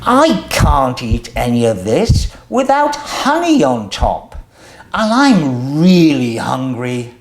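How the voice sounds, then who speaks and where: A storyteller voicing a young male bear in a children's storybook, eager, plaintive and childlike, with drawn-out exclamations. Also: mid-range voice, sing-song. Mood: worried, puzzled.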